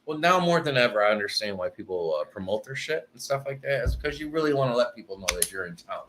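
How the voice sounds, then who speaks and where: A man chatting casually as a guest, relaxed and rambling, with many hesitations. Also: mid-range voice, fast. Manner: laid-back, rambling, many uh's. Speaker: guest, old friend of host. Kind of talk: casual chat.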